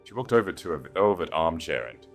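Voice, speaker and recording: A man's voice: deep voice, sing-song, brisk, faint music behind. A man reading a dramatic scripted romance as an audiobook narrator.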